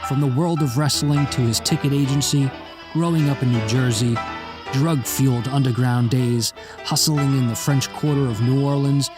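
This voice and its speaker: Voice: mid-range voice, steady tone, moderate pace. An announcer reading a scripted promotional podcast introduction. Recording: voice-over with guitar music behind.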